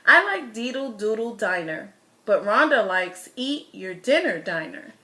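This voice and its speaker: A woman with a fairly high, very bright voice reads a children's story aloud. Her delivery is expressive and deliberate, leaning on key words as a storyteller does for young listeners. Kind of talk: expressive children's picture-book read-aloud.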